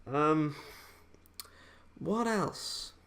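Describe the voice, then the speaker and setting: A man giving a casual, unscripted review in a halting, hesitant, rambling way, often correcting himself as he goes. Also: on the high side, expressive, gravelly, fairly bright tone. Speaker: amateur video game reviewer.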